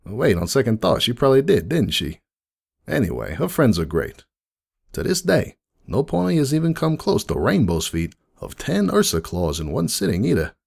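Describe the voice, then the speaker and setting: A man's voice: medium pitch, huge pitch swings, moderate pace, gravelly, darkish tone, breathy edge, heavily acted. A man voicing a friendly, nostalgic unicorn donut shop owner in a dramatic fan fiction reading, chatty and folksy like someone reminiscing. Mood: proud, nostalgic.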